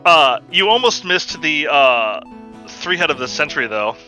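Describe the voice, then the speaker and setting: A man with a loud voice, a racer chatting casually after a race and retelling his own thoughts with animation. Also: a little high, animated, normal speed, soft background music. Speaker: tournament racer. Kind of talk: casual post-race chat.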